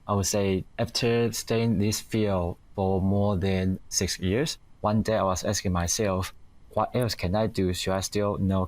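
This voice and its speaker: A middle-aged man from Taiwan with a Taiwanese accent, giving halting, reflective interview answers as a guest. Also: low-pitched, darkish tone, no echo. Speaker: guest, company founder and engineer.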